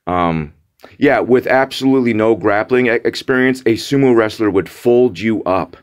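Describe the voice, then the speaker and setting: Young man, lowish voice, vlog rant: exasperated, mocking, stop-start delivery with lots of repetition. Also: moderate pace, clean tone. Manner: exasperated, mocking, repeats words for emphasis; frequent restarts and fillers. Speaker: vlogger. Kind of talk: casual rant to camera.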